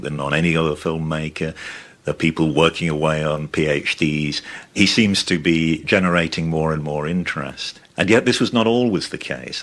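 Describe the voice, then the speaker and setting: A middle-aged man speaks as a lecturer interviewed for a documentary. His voice is extremely deep, and he explains his points in a conversational academic style. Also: a bit bright. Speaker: university film lecturer.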